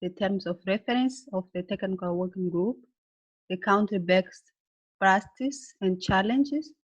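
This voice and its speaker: A woman's voice: fairly low pitch, lo-fi sound. Woman presenting, English. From Mozambique. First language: Portuguese. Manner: halting, searching for words with many fillers. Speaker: webinar presenter, pediatrician. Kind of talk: presenting slides in a webinar.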